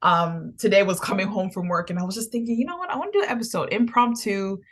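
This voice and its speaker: A woman of thirty, giving an impromptu casual vlog update in an animated, playful way, laughing often. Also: medium pitch, quick pace. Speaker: podcast host.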